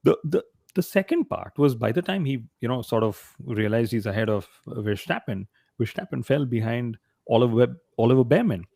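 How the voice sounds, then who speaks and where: Creaky-voiced man hosting a podcast. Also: mid-range voice, lively intonation, normal speed, warm tone, dry recording, clean studio sound. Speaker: podcast host. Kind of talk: motorsport panel discussion.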